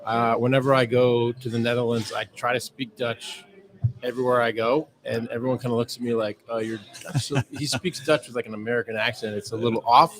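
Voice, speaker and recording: A man from Southern California, a club board member, talking casually in an interview with many pauses and fillers. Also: mid-range voice, flat delivery. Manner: hesitant, many fillers and restarts. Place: club bar during a social evening. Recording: loud crowd chatter behind.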